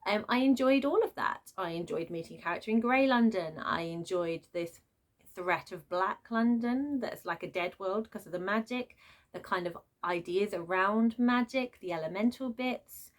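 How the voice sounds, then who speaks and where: A woman vlogger talking off the cuff in an emphatic, expressive, informal way, like a book reviewer ranting to viewers. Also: mid-range voice, normal speed. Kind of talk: unscripted opinionated rant to viewers.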